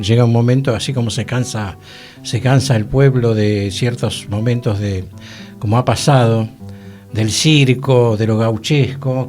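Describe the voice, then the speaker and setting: A man's voice: lowish voice, darkish tone. A man with a Buenos Aires Argentine accent chatting informally as a radio panelist, in a rambling way. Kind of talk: casual conversation. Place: radio programme, guitar music playing behind the voice.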